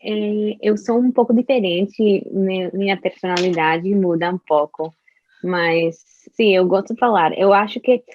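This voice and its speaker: A young woman, a native Spanish speaker, talking in an interview about learning Portuguese, with careful, halting speech and restarts. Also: medium pitch, no echo, highs cut off. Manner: slow, careful speech with frequent restarts and laughs. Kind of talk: casual conversational interview.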